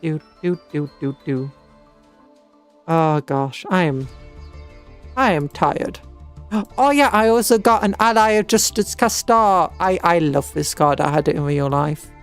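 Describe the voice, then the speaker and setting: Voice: mid-range voice, a little slow. A British livestream host chatting casually in a rambling, hesitant way, often trailing off and restarting. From Britain. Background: music.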